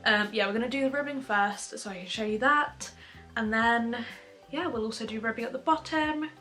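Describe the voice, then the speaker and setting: A woman's voice: fairly high pitch, normal speed, bright, forceful tone, soft background music. A young woman giving a casual, chatty step-by-step crochet tutorial at home, with frequent ums.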